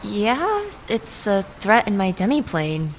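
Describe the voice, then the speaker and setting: A woman's voice: mid-range voice, huge pitch swings, slow pace, slight background noise, exaggerated voice. A woman playing an earnest otherworldly visitor who stands her ground, in an audio drama. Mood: composed, puzzled. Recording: music under the voice.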